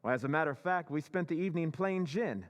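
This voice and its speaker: A man's voice: fairly high pitch, expressive, fast talker, lightly acted. An actor playing a courteous, deferential male robot double of a husband in an old-time radio drama recreation.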